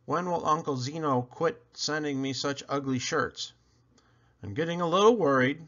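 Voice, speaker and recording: A man reading a picture book aloud in an animated storytelling style, with theatrical emphasis on questions and lists. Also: fairly high pitch, normal speed, muffled recording. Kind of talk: animated children's read-aloud narration.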